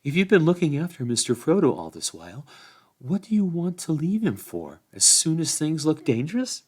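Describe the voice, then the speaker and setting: Male reader playing a young hobbit woman, pitched well up, teasing edge. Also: sing-song, moderate pace, a little mellow, husky. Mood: scolding.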